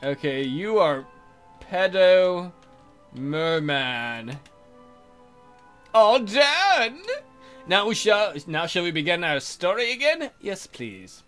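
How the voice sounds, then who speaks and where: A man's voice: high voice, big pitch swings, takes it slowly, pauses often, fairly bright tone, faint music behind. A man hosting a let's play, reading visual novel text aloud and cracking irreverent, crude jokes. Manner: irreverent, crude joking, frequent mock voices. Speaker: let's play video host.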